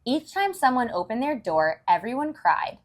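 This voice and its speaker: A woman's voice: a little high, sing-song, normal speed. A woman reading a storybook aloud to young children, with encouraging, explanatory asides.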